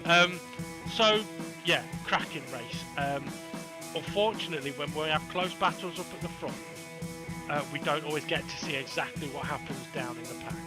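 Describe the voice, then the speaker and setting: A man commentating on an online sim race, chatty and excitable, with lots of ums. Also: on the high side, normal speed, rough recording. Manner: excitable, chatty, with many fillers. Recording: loud music under the voice.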